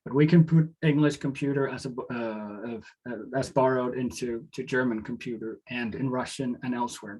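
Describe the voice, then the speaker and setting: A man's voice: medium pitch, darkish tone, slightly rough, poor audio. A man whose first language is Danish, a doctoral researcher giving an academic lecture over slides, often hesitating with fillers and restarts.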